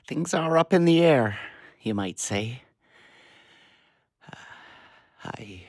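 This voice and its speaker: A man playing a weary, pleading doctor and father in an audio play, speaking hesitantly and haltingly. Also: mid-range voice, no room sound, studio quality. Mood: gently fond, then grieving. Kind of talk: audio drama acting.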